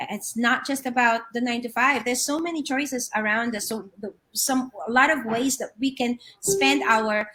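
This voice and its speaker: Woman from the philippines, middle-aged, bright fairly high voice, talking over a video call from home, lots of ums and restarts. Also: low-quality recording. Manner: warm storytelling with frequent fillers and restarts. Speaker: guest. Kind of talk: live online interview. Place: home office.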